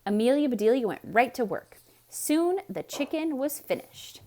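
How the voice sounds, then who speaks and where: Animated woman storyteller reading for children. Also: high-pitched, moderate pace. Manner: expressive, addressing young listeners directly. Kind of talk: theatrical read-aloud for children with explanatory asides.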